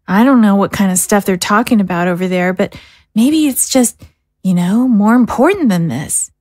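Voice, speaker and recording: A woman's voice: mid-range voice, animated, normal speed, a little mellow, dry recording, studio quality, natural voice. A woman playing a guarded, disillusioned young woman in an audio drama, speaking in a hesitant, downbeat way. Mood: pessimistic. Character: guarded, disillusioned young woman, modest former militia member.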